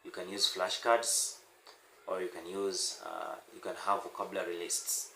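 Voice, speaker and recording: A man's voice: low-pitched, little pitch movement, creaky, sharp tone. Swahili-speaking man teaching in an echoey room. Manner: unscripted, repeats words and pauses with many "uh"s. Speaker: language teacher. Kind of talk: casual spoken lesson to camera. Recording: echoey room with faint noise.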